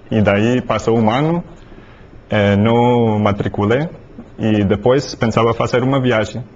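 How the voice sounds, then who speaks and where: A man with an American English accent speaking Portuguese as a second language, pausing often with fillers as a seminar guest. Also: on the low side. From United States. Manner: halting, frequent fillers. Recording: dull lo-fi sound, faint noise.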